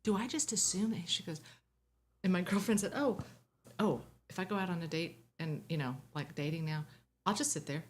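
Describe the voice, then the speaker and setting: A woman chatting as a guest, telling a lively personal story and acting out what people said. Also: fairly low pitch, a little fast, slight background noise. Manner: animated, acts out quotes. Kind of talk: casual storytelling.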